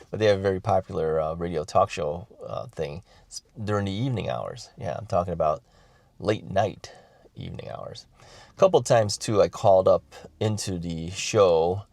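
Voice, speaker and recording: A middle-aged man talks casually to his viewers in a deep, gravelly voice. He rambles, restarts sentences and chuckles between thoughts, all recorded dry and close. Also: expressive, moderate pace, darkish tone, no room sound. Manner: rambling, many fillers and restarts, chuckles often. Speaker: vlogger. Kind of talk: casual talk to camera.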